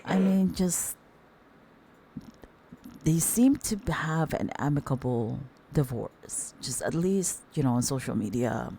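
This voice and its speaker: A middle-aged woman with a hoarse voice from the flu, chatting casually with her viewers as host of a commentary channel and calling them darlings. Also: lively intonation, slow pace, mellow, slight background noise. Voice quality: very deep voice.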